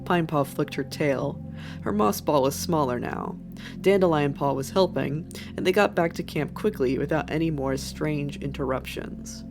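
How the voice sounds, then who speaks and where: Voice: medium pitch, moderate pace. A narrator reading an animal adventure story as scripted narration. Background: faint background music.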